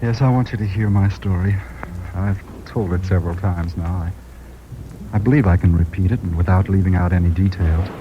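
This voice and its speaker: Vintage radio drama: man as smug executive, deep voice, confessional tone, dull and noisy old recording. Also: lightly acted. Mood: wistful confession. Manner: wistful, deliberate, self-satisfied. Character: self-satisfied male investment boss, wistfully confessing. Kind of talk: 1940s radio drama, first-person confession.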